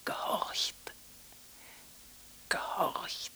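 An actress in an experimental radio drama playing a feeble, disembodied woman in a breathy, raspy whisper and halting fragments. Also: pushed and bright. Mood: desolate, fading.

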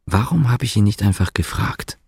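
A man's voice: lowish voice, lively intonation, moderate pace, vocal fry, a little mellow. An actor playing a guarded East German policeman in a radio drama, terse and matter-of-fact. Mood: self-reproachful. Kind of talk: radio drama acting with inner monologue.

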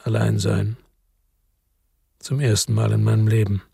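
Man, first-person radio drama narrator, brooding, bitter, weary, dark husky voice, dry studio sound. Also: fairly low pitch, level tone, unhurried, dry recording.

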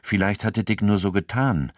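An actor narrating a true-crime radio drama in a sober, scripted storytelling manner. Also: male voice, fairly low pitch, moderate pace, dry recording, clean studio sound, natural voice.

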